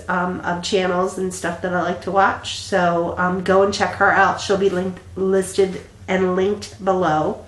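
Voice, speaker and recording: A woman in her thirties, stuffy and congested, chatting casually to her viewers with lots of ums and restarts. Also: lowish voice. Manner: rambling, many ums and restarts. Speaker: flosstube vlogger. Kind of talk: casual chat to camera. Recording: echoey room.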